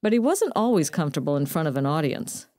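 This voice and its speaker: Brief: woman news reporter, low mellow voice, calm scripted voice-over. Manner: measured, even reading.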